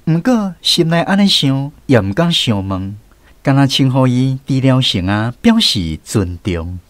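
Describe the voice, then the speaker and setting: A middle-aged man narrating a scripted first-person radio story in a folksy, expressive way, with a Taiwanese accent. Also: mid-range voice, unhurried. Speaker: drama narrator.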